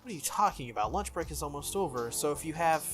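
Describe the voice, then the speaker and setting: A man's voice: fairly high pitch, animated, quick pace, music in the background, close to an everyday voice. A man voicing an earnest, wary teenage schoolboy hero in a visual novel, guarded and hesitant.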